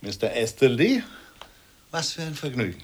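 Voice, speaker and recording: A man's voice: mid-range voice, very animated, normal speed. An actor playing an effusively polite, insistent and exacting male portrait painter in a radio play. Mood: effusively charmed.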